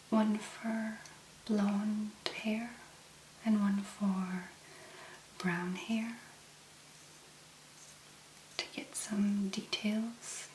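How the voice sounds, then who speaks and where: A woman speaking in a hushed, gentle whisper with long pauses, as an ASMR host. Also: medium pitch. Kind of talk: soft-spoken whispered ASMR. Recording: roomy echo, clear background noise.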